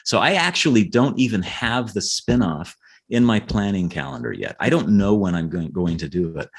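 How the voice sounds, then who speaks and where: A man's voice: on the low side, expressive, moderate pace, breathy edge. A middle-aged man chatting earnestly as an interview guest, often repeating words and checking in with "right?". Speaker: author guest. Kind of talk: casual interview chat.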